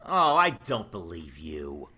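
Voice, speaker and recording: A man performs an indignant, sceptical doctor with a sharp, forceful voice. His pitch swings widely as he speaks with excitable emphasis in old-time radio drama style. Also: takes it slowly, breathy edge, heavily acted. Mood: indignant, disbelieving. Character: sceptical, hot-tempered male doctor, indignant and flustered. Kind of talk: vintage radio drama acting.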